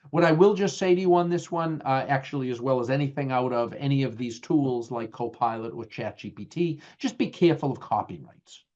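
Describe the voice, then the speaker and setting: A man's voice: mid-range voice, a little mellow. Boston-accented man leading a webinar. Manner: conversational, many fillers and restarts. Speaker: webinar presenter. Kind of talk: webinar talk with slides. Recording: dull lo-fi webinar audio.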